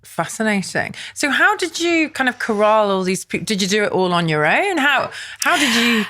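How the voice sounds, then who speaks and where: A woman's voice: a little high, very animated, moderate pace, pushed and bright, no echo, studio quality. A woman hosting a podcast interview, asking questions and encouraging her guest. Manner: encouraging, brief backchannels. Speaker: podcast host.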